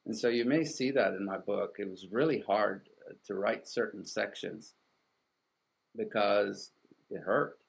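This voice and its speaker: Gravelly Swedish man, thoughtful and halting. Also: on the low side. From Sweden. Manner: thoughtful, halting, with many restarts and fillers. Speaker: artist and author, guest. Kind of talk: reflective interview conversation.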